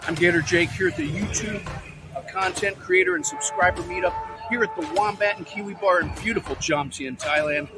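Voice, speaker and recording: A man's voice: high-pitched, brisk. A man delivering a scripted show intro as the segment announcer. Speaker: segment announcer, content creator. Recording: loud music under voice. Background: loud music.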